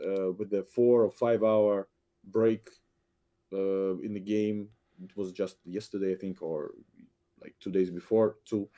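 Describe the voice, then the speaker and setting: Man, low voice, mellow tone, hesitant with many fillers, video call headset. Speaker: game director. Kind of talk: podcast guest update, halting.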